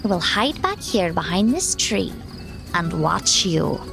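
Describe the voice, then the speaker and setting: A woman's voice: fairly low pitch, very animated, slow pace, gravelly, over music, heavily acted. A woman voicing a bold, wily male storybook cat with a theatrical, commanding and sly manner. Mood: commanding, threatening. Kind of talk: children's storybook character voice.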